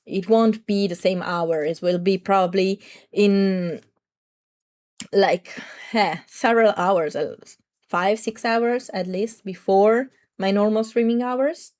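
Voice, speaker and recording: A young adult woman streamer with a slightly raspy throat, chatting to viewers in a rambling, talkative way. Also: mid-range voice, slow, a little mellow. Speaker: VTuber streamer. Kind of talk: casual livestream chat.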